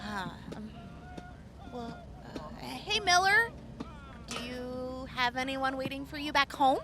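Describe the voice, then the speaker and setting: A woman's voice: high voice, slow, background noise. A woman playing an upbeat young army medic in an audio drama, chatty and giggly, stammering when flustered. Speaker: field medic.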